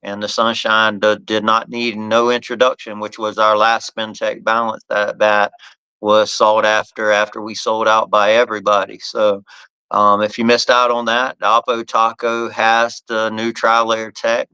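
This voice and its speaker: A man with a bright, forceful tone and a smooth, crisp voice hosts a casual live podcast. He talks in loose, rambling runs, stumbling and restarting phrases as he goes. The sound is close and dry. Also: on the low side, completely flat delivery, measured pace, no room sound, low-quality recording. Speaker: podcast host and bat company owner.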